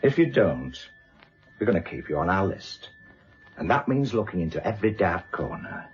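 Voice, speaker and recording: A British senior detective in a radio drama, middle-aged and wry, needling his listener without hurry. His low voice moves very freely in pitch. The recording is dull, with music clearly behind it. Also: big pitch swings. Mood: calm, shrewd. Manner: sardonic, unhurried, needling. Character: wry, probing senior male police inspector. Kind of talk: naturalistic radio drama acting.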